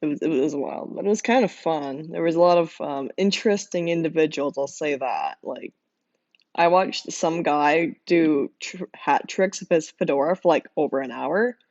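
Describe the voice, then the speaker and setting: A young woman with a hoarse, worn-out voice chatting casually with her stream viewers, laughing often and rambling with lots of fillers. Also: deep voice, normal speed, a little mellow, no echo. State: hoarse, losing voice from screaming. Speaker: livestreamer drawing art. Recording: dull lo-fi dry mic sound.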